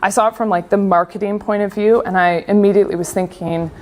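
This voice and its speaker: A woman's voice: medium pitch, fairly even intonation, fast, a little mellow. A young woman with a Canadian accent giving a casual, chatty conference talk on design. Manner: casual, chatty with frequent fillers. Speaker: conference speaker.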